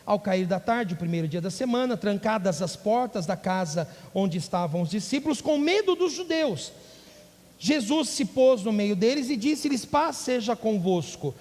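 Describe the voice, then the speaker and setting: A man's voice: high voice, expressive, moderate pace, a little mellow. A male pastor preaching a sermon, rising into emphatic bursts and asking the congregation questions. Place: church. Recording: church hall with long reverb.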